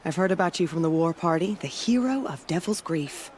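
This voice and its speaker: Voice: mid-range voice, expressive, brisk, a little hiss or hum, close to an everyday voice. A performer voicing a cautious, matter-of-fact guide in a video game cutscene. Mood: wary. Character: wary guide who knows the way to the capital.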